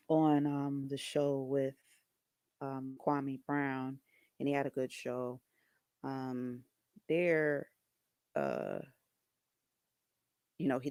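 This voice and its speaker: A soft-voiced man talking casually to camera, hesitant, with many ums and restarts. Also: mid-range voice, creaky, no echo, studio quality. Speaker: video creator.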